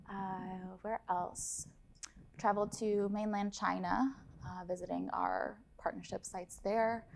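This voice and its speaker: A woman's voice: mid-range voice, vocal fry, darkish tone, slight background noise. A woman from Lebanon in her thirties, a study abroad advisor chatting in an interview in an upbeat, enthusiastic way. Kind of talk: casual interview talk.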